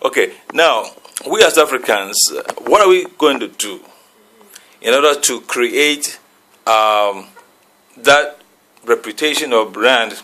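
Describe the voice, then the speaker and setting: This is a man speaking on a radio panel. His voice is bright and forceful, and his delivery is halting, full of pauses while he searches for the right words. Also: medium pitch, expressive. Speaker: reverend asking a panel question.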